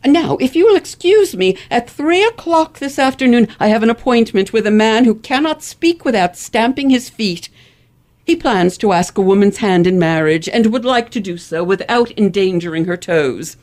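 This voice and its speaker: Radio play role: vain, haughty elocution madam, acted by a woman, very animated, formal delivery. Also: on the high side, moderate pace, close to an everyday voice. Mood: haughty, indignant. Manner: pompous, formal, emphatic enunciation. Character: proud, vain, imperious elocutionist lady, easily outraged. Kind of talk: old-fashioned radio play acting.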